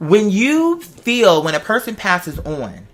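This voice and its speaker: A host in a casual personal chat, telling stories in an animated way with examples and acted-out quotes. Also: mid-range voice, slow.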